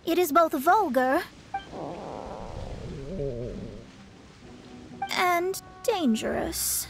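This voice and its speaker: A woman's voice: extremely high pitch, expressive, slow pace, crowd noise, heavily acted. A woman playing a proud young astrologer in a video game, speaking in a formal, pompous and haughty manner. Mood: proud, defensive. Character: proud young female astrologer, haughty master hiding her poverty.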